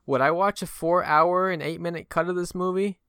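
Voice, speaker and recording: A man with a clear, forward, bright voice hosts a casual movie chat. He rambles and stumbles over his phrasing as he makes his case. The sound is dry and close. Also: high-pitched, steady tone, a little fast, no room sound. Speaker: film podcast host. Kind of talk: casual film discussion.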